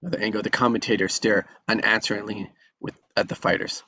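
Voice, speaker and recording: A man with a fairly low voice serves as narrator at a table read. He reads out scene descriptions and action from a film script. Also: steady tone, fast talker, pushed and bright.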